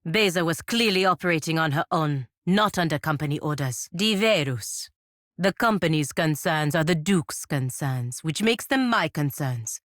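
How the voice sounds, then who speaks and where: Dutiful, disapproving female knight-diplomat, fantasy RPG. Deep register, formal measured delivery, dry studio sound. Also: lively intonation, a bit bright, no room sound. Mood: cool, disapproving. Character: proud, stern, dutiful female paladin envoy of a merchant republic. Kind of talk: scripted fantasy video game dialogue.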